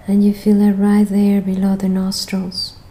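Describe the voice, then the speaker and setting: A woman leading a guided meditation as the guide, speaking in a gentle, soothing manner with long pauses between phrases. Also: medium pitch, monotone, poor audio.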